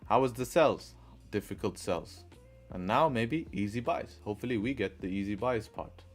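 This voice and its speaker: Male streamer, mixed partly Arabic-sounding accent, low voice, drills points with rhetorical questions, faint music bed. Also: normal speed. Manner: rhetorical, repeats questions and answers to drive a point. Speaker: trading livestream host. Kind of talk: live-stream chat and chart commentary.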